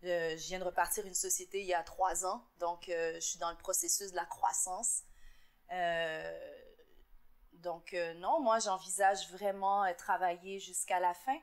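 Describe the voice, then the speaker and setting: A woman's voice: lowish voice, steady tone, unhurried. Earnest Québécois woman, reflective. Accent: Québécois French. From Montreal, Quebec. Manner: earnest and reflective, with frequent fillers and repeated words. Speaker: interviewee, entrepreneur. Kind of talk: interview answers.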